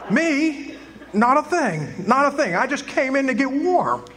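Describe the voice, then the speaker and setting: Bright, high-voiced stammering young suitor, played by a man, live radio-play reading. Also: sing-song, unhurried, full character voice. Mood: awkward. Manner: stammering, blustery. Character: flustered young small-town man. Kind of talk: live amateur radio drama.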